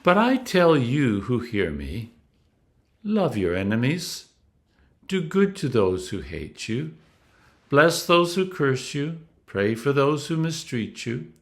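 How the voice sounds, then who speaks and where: A man reading scripture aloud as a clergyman, in a solemn, deliberate style with emphatic stresses. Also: medium pitch, very animated.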